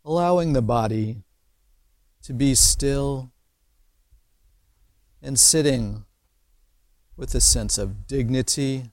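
A man leading a guided meditation as a teacher, speaking in short, measured phrases with pauses between them. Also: mid-range voice, no room sound. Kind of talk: slow guided imagery meditation narration.

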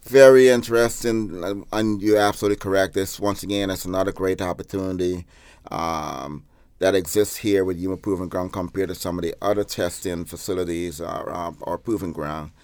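A middle-aged man from Guyana answering interview questions, halting with many pauses and restarts. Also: low voice, steady tone, a little mellow, clear, resonant tone, dry recording. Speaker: interviewee, former Army staff.

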